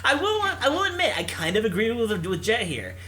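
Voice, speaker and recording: A man hosting a let's play, reading a visual novel aloud in an expressive narration. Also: extremely high pitch, fairly quick. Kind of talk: expressive read-aloud narration with casual asides.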